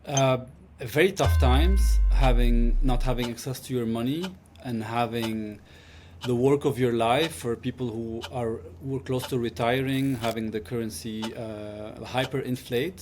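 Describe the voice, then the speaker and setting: A man from Lebanon giving casual interview answers, pausing often as he finds his words. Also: mid-range voice, a little mellow, a little hiss or hum. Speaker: interview guest.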